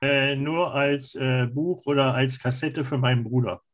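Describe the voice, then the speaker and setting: A man's voice: medium pitch, fairly even intonation, a little slow. A man chatting casually as a podcast panelist. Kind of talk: casual chat.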